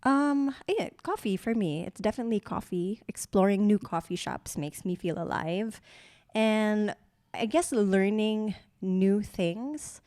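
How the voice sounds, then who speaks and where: A woman's voice: medium pitch, expressive, dark tone, no room sound, studio quality. A young woman from the Philippines co-hosting a casual couple's podcast, reading out questions and chiming in supportively. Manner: supportive, reads out questions, quick affirmations. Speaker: podcast co-host.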